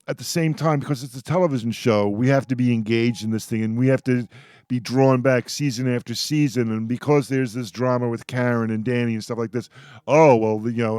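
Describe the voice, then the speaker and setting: A man's voice: medium pitch, darkish tone, clear, resonant tone, no echo. A man chatting casually as a panelist in a discussion about television. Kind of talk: casual chat.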